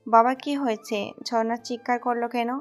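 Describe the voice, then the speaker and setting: A woman's voice: fairly high pitch, steady tone, normal speed, faint music behind, lightly acted. A woman playing a polite middle-aged mother, the neighbourly auntie in an audio story. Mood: calm, polite.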